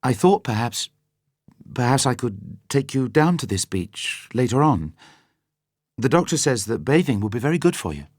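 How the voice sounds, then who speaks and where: A man voicing an anxious, guilt-ridden young woman who speaks softly, often near a whisper. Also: medium pitch, sing-song, normal speed, no echo, studio quality, voice pushed up. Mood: eager.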